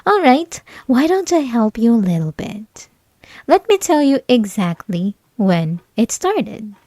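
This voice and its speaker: Woman acting a slow, taunting young female bully. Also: fairly high pitch, sing-song, dark tone, dry recording, clean studio sound, exaggerated voice. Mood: mocking. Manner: taunting, condescending, teasing, with breathy whispered asides. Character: mocking, possessive young female bully, sweetly menacing. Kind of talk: ASMR roleplay, intimate scripted monologue to listener.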